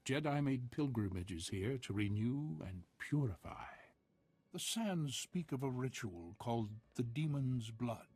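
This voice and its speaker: Husky old desert soothsayer. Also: male voice, mid-range voice, expressive, darkish tone. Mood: solemn. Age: older. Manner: slow, cryptic, portentous. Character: ancient, half-mad male desert soothsayer, cryptic and solemn. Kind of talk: scripted video game acting.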